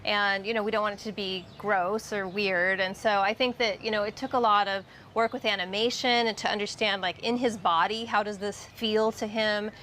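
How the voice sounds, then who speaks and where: A woman's voice: mid-range voice, steady tone, moderate pace, few pauses, a bit bright. A woman giving casual, explanatory answers as an interviewee. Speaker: filmmaker being interviewed. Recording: noticeable background noise.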